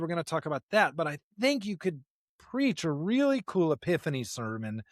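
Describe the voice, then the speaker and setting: Male podcast co-host, slightly high voice, close dry sound, emphatic and discursive on religious matters. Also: no echo. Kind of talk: unscripted theological discussion.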